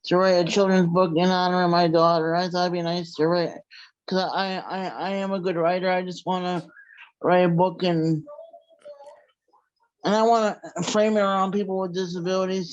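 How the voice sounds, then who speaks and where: A man's voice: high-pitched, highs cut off, a little hiss or hum. A man sharing his goals on a video call, stumbling and repeating words as he talks. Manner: halting, repeats words and restarts often. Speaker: meeting participant.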